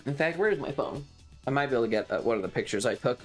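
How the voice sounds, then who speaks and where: A man streamer telling a casual, rambling story in a halting, wry way with some swearing. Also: mid-range voice, expressive, faint music behind. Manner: frequent throat clearing.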